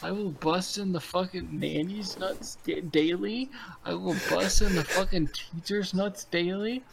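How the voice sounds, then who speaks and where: A man's voice: high voice, measured pace, creaky, background noise. A man in his twenties in crude, rambling casual chat on a video call, heavy with fillers and profanity. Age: 20s. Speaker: podcast co-host.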